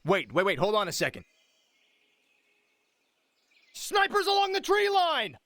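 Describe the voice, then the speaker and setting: An actor playing an alert Marine scout in a war video game, giving terse, clipped reports. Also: male voice, extremely high pitch, huge pitch swings, a little slow, sharp tone, no room sound, exaggerated voice. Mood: watchful.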